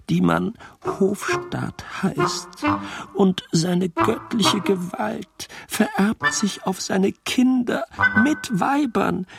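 A man's voice: sits high, creaky, full character voice. A man playing a dramatic orator who indicts prince and nobles, declaiming emphatically in a musical radio play. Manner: emphatic, swinging from hushed to loud.

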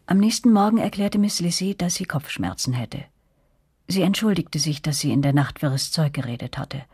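An actress narrating a radio drama from a script, with a breathy, husky voice. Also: female voice, low voice, expressive, normal speed, darkish tone, full character voice.

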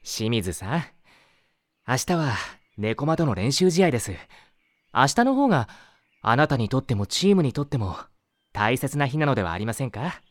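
A gentle teacher played by a male actor with a middle-pitched, expressive voice. He is courteous and earnest, recorded dry in an anime drama. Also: moderate pace, no echo, lightly acted. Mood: gentle concern. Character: gentle, polite, concerned male high school teacher. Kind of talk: anime audio drama acting.

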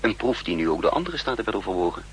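An actor playing a decisive, practical spy leader in a 1960s radio play, giving orders and explanations in a commanding manner. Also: male voice, on the low side, big pitch swings, fast. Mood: explanatory. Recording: noisy 1960s radio drama recording.

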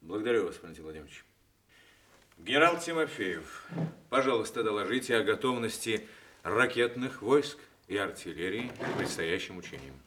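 Dignified army commander in a soviet radio drama, low voice, formal, courteous, measured orders. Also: male voice, lively intonation, fairly bright tone. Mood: courteous, businesslike. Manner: formal, authoritative, deliberate. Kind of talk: Soviet radio play acting.

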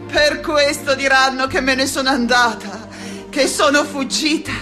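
A persecuted scholar and teacher in a radio play. Her high voice moves slowly and haltingly, repeating words with impassioned, fearful defiance. Music plays loudly behind her. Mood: solemn, defiant. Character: frightened, defiant woman scholar and teacher facing her killers. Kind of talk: theatrical radio drama monologue.

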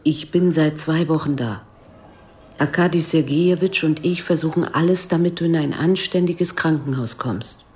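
A woman acts out a worried adult daughter in a radio play. Her voice is notably low, and she speaks with restraint. The concern stays controlled and matter-of-fact. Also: normal speed, darkish tone, close to an everyday voice. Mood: restrained concern. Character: sober, worried grown daughter of old village woman. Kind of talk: radio drama acting.

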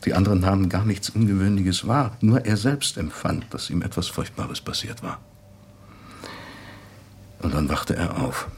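A man playing a thoughtful acquaintance recalling a late friend in a measured, articulate radio drama monologue. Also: low-pitched, slight background noise. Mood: grave, reflective.

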